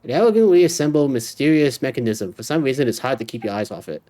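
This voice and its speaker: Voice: medium pitch, expressive, fast talker, warm tone. A streamer giving casual video game commentary and reading on-screen dialogue aloud. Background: game music.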